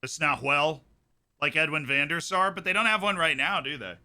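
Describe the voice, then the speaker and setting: Young male streamer, bright edgy tone, casual joking banter. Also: fairly high pitch, normal speed. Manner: chatty, jokey, repeats words when thinking. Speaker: streamer host of a football watchalong. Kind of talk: casual chat reacting to a live match.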